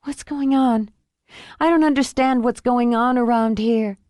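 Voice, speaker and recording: A woman voicing a proud, defensive young nature guide in an audiobook, sharp and quick to flare. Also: on the high side, no echo, studio quality, voice pushed up, natural voice. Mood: alarmed. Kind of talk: audiobook character reading.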